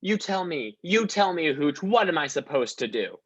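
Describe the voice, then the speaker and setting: A man's voice: fairly high pitch, sing-song, moderate pace, fairly bright tone, slightly rough, lo-fi sound, heavily acted. A man playing an exasperated uptight cop. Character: uptight male police officer, exasperated. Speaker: comedy radio-play actor. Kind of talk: parody radio-play acting.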